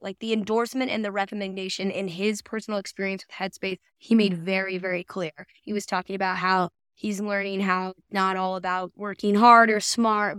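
A woman's voice: mid-range voice, fairly bright tone, clean tone, no room sound, studio quality. A woman co-hosting a podcast, chatting casually and agreeably as she thinks aloud through a review. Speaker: podcast co-host.

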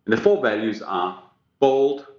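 A Danish-born man speaks as an executive guest, his voice sitting fairly low. He leans into key words with earnest emphasis. The sound comes through an echoey, muffled, lo-fi line. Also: expressive, a little slow, darkish tone. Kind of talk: business podcast interview.

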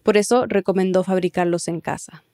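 A woman's voice: on the low side, fairly even intonation, a little fast, dark tone, no echo. A woman hosting a narrative news podcast, reading scripted narration and putting questions on a close mic. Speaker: podcast host.

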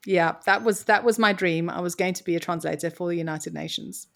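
Woman from mozambique, thoughtful guest, lower voice. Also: creaky, fairly bright tone. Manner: articulate, reflective, measured storytelling. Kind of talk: conversational interview.

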